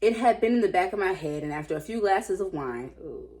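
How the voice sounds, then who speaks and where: A woman's voice: on the low side, lively intonation, fairly quick, darkish tone. A woman reading stories aloud casually, breaking off for playful, slangy, off-the-cuff reactions. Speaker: host reading Reddit stories. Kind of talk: casual read-aloud with chatty off-the-cuff commentary.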